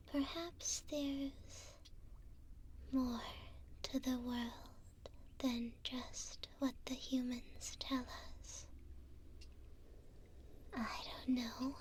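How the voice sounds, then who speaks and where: A woman playing a gentle, hopeful imprisoned elf in an ASMR roleplay, speaking tenderly in a soft, breathy whisper close to the mic with pauses between short phrases. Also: sits high, slight background noise. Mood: pensive. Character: gentle, hopeful female elf prisoner in a dungeon. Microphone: close binaural microphone.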